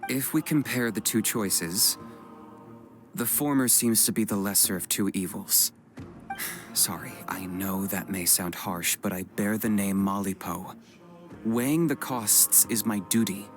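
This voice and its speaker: A man's voice: on the low side, a little slow, faint music behind, close to an everyday voice. A man voicing a cool analytical strategist. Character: rational young male tribe strategist. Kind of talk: game voice acting.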